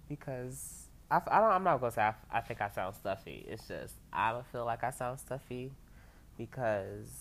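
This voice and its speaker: A woman's voice: rumbling low, lively intonation, normal speed, slight background noise. A woman hosting her own podcast in a loose, rambling chat at home, full of fillers and casual swearing, sounding a little stuffy from allergies. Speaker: podcast host. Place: home, laundry dryer running. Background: lo-fi music playing under the voice.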